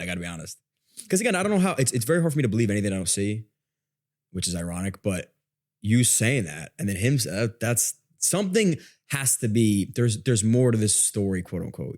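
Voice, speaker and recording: A man's voice: lowish voice, darkish tone. A man chatting casually on a podcast, informal and slangy, thinking aloud as he goes.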